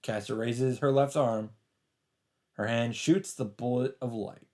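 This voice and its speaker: A man's voice: medium pitch, measured pace, slight background noise. A man reading a visual novel aloud as a let's play host, in dramatic scripted narration. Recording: loud game music behind voice. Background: visual novel soundtrack.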